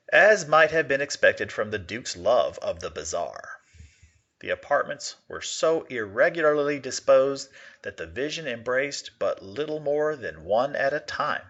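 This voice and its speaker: A man's voice: mid-range voice, fairly bright tone. A man reading aloud expressively in the manner of a teacher giving a literature lesson, on a slightly dull recording.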